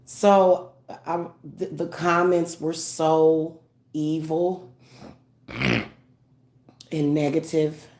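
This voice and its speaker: A woman speaking as a spiritual medium in a casual monologue, congested, halting with many fillers and restarts, earnest and tender. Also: sits low, steady tone, darkish tone.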